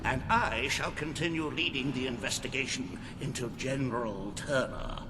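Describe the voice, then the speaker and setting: An actor playing a pompous, fussy official in a video game, flowery and hesitant in a theatrical way. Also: male voice, mid-range voice, moderate pace, heavy background noise, natural voice. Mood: flustered, officious. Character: pompous, fussy, squeamish male official briefing his superior. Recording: long hall reverb, music behind. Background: game soundtrack music.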